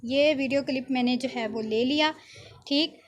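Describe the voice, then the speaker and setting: A woman giving an informal software tutorial, explaining step by step with frequent check-in tags. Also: high voice, normal speed. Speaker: video editing instructor.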